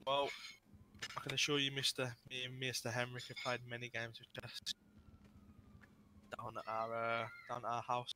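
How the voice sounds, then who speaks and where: A man's voice: medium pitch, completely flat delivery, moderate pace, pauses often, natural voice. A man playing a formal, defensive, argumentative lawyer in an online roleplay game. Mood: formal, insistent. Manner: formal, argumentative, addresses people by title. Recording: noisy online game voice chat.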